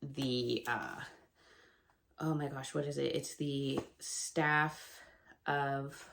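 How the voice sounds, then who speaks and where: A woman in her thirties chatting casually to camera as a book vlogger, her voice still gravelly and nasal from a cold, rambling and laughing often. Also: very deep voice, fairly even intonation, extremely slow, a bit bright, slight background noise. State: hoarse and stuffy from a cold.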